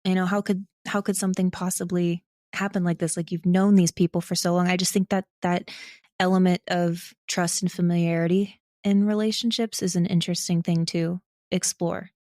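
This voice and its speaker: A woman's voice: lowish voice, a little fast, dry recording. Candid woman chatting, close dry sound. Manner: candid, animated self-quoting, frequent "like". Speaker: podcast guest, audio drama creator. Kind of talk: casual interview chat.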